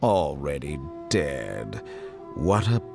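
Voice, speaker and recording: A man playing a smooth, sinister, arrogant scientist villain in a video game, with a mocking theatrical drawl. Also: rumbling low, big pitch swings, extremely slow, music in the background, heavily acted. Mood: mocking, menacing.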